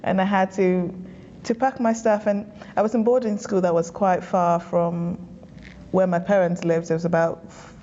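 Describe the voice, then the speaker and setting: Young woman from Ghana, interview guest, mellow low-ish voice, chatty and quick to laugh, slightly dull recording. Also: a little hiss or hum. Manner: chatty, laughs easily, frequent small restarts. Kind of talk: relaxed personal storytelling.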